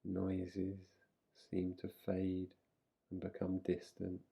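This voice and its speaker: A man guiding a relaxation meditation in a soothing, unhurried manner, leaving long pauses between short phrases. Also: sits low, completely flat delivery, gravelly, dark tone, husky. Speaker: meditation guide. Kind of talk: guided relaxation meditation, yoga nidra.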